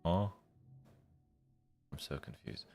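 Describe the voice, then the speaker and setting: A man's voice: takes it slowly, a little mellow. A man live streaming, reading a visual novel's narration aloud and breaking off to comment casually. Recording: music behind the voice. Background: guitar music from the game.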